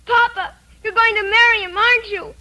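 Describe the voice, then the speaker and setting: Haunted young boy, insistent and plaintive, very high piercing voice, old-time radio acting. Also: steady tone, brisk, sharp tone, full character voice. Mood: tearful, angry. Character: distraught young boy, haunted and insistent about his dead mother. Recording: vintage radio drama recording.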